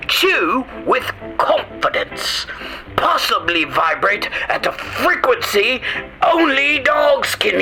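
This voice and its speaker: A man voicing a hushed snake-oil salesman pitching a rabbit tonic in a 1940s-style radio ad parody, speaking low and drawn out. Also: gravelly, full character voice, rough recording.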